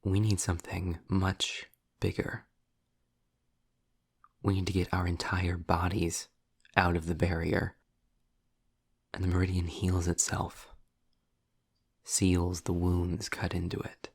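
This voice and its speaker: A man playing a sarcastic, impatient, erudite demon in a scripted audio roleplay, speaking in a wry, wordy way with dramatic pauses. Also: low-pitched, vocal fry, husky, no echo, studio quality, exaggerated voice.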